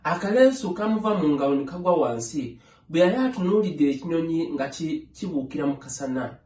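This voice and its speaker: A man narrating a children's story aloud in a measured, phrase-by-phrase read-along style. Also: on the high side, lively intonation, darkish tone. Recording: echoey room.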